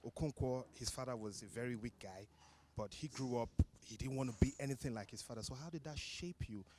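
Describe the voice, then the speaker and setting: A man's voice: medium pitch, brisk, a little mellow. A man asking conversational questions as an interviewer. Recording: faint background ambience noise.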